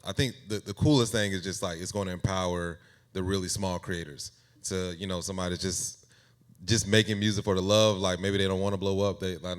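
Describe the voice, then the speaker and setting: Deep, smooth-voiced man in casual conversation. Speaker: guest AI music creator.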